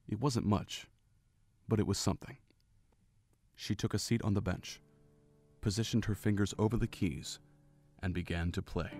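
A man's voice: sits low, darkish tone. A man reading scripted story narration as the narrator of an audio drama.

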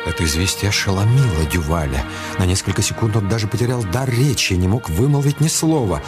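Measured male radio-play narrator over music. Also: lowish voice, lively intonation. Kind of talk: scripted dramatic narration of a detective story.

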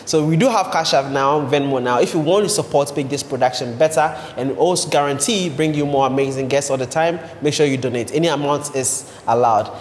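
A man's voice: mid-range voice, a little fast, hardly any pauses. A man in his thirties with a Ghanaian accent, hosting a conversational interview show on a podcast microphone. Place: indoor co-working space. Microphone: podcast microphone on boom arm. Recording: echoey room, long reverb, faint noise.

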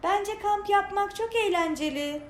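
A woman voicing an eager, curious young child in a children's storybook, with an excited manner. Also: very high-pitched, measured pace, voice pushed up. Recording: roomy echo, some noise.

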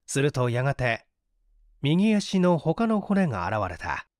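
A man narrating a mystery story as an audiobook, with an expressive, dramatic delivery. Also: mid-range voice, takes it slowly, no echo, clean studio sound. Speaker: audiobook narrator, stage actor.

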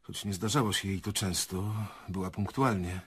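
Radio drama: dazed, evasive director, low and very husky, hesitant delivery. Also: male voice. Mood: guarded, subdued. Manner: hesitant, halting. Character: shaken, evasive male theatre director, halting and dazed. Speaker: actor in a radio play.

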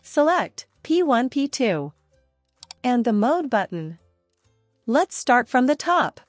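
A woman narrating a scripted product review and demo in a steady, even reading voice. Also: high-pitched, slow, no room sound, soft background music. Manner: steady, even reading of long spec lists.

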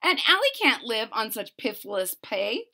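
A woman with a high, bright voice reads a picture book to children. Her delivery swings widely up and down, with theatrical stress on key words. She drops into casual, chatty asides between the verses. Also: very animated, a little slow, vocal fry. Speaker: storyteller reading a picture book aloud. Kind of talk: expressive children's read-aloud with casual asides. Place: small room at home.

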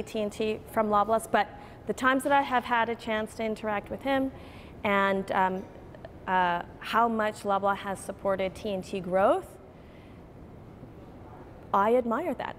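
A woman's voice: mid-range voice, a little hiss or hum. A woman in her forties, a grocery chain executive, speaking earnestly and animatedly in a TV news interview inside a store.

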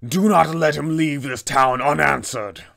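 A man voicing a brutal, menacing town enforcer from a fantasy tabletop game, forceful and commanding. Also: on the high side, a little slow, creaky, pitched above the performer's own voice. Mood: furious. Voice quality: forceful, raised voice. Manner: commanding, threatening.

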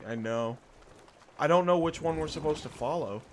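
A man's voice: on the high side, moderate pace. A young man streaming a video game with casual, easygoing commentary and the odd swear word. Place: indoor room at a desk. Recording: noisy stream with boat and sea ambience. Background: game sounds of boat and water.

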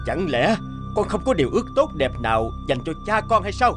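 An actor voicing a stern, quick-tempered old king in an animated fairy tale, imperious and scolding. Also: sits high, over music. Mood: stern, angry. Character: stern, easily angered old king and father.

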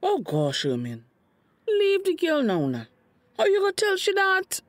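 A woman's voice: very high-pitched, wildly swooping pitch, measured pace, no echo, exaggerated voice. A middle-aged woman with a Tobagonian accent playing a meddling, hot-tempered village godmother in a radio drama, emphatic in delivery. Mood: indignant. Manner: indignant, emphatic.

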